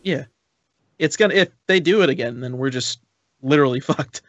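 A man co-hosting a podcast in casual chat, sardonic and quick to swear. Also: medium pitch, no room sound, dull audio. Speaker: podcast co-host.

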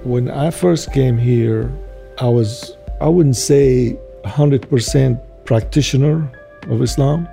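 Gravelly-voiced man with a Syrian accent. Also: mid-range voice, takes it slowly, dark tone, faint music behind. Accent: Syrian Arabic. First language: Arabic. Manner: earnest, reflective storytelling. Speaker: interviewee, father. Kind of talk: interview recollection.

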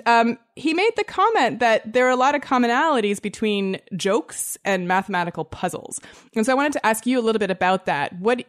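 A woman hosting a show in a conversational interview style. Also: medium pitch.

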